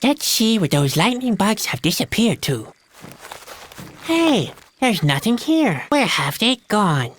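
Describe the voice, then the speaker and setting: A man's voice: high voice, very animated, takes it slowly, a bit bright, slight background noise, full character voice. A man voicing a timid, gloomy young boy clown in an adventure game, musing in a hesitant, mournful way. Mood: puzzled. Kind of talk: scripted adventure game dialogue. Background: music.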